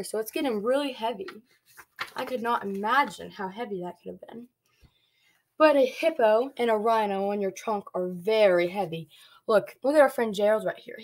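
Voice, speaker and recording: A young woman reading a picture book aloud to small children, stopping to explain and ask them questions. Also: a little high. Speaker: preschool read-along storyteller. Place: small dim bedroom, indoors.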